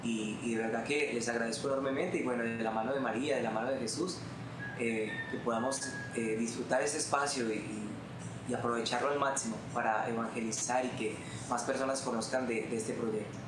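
A man's voice: medium pitch, fairly even intonation, fairly quick. A man in his twenties with a Colombian accent, a guest telling his faith story in an earnest, heartfelt way. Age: 20s. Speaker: guest musician. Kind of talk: testimony in an interview. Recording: roomy room with background noise.

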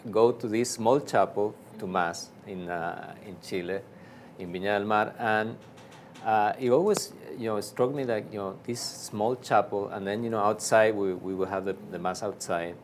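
Argentine priest guest, lower voice, halting with lots of "uh" and restarts, faint background hum. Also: male voice, fairly even intonation. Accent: Argentine Spanish. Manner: halting, many fillers and restarts. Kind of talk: casual interview storytelling.

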